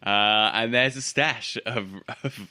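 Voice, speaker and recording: A man's voice: medium pitch, fairly even intonation, slow, bright, forceful tone, smooth, dry recording. A man narrating a tabletop roleplaying game casually as its game master.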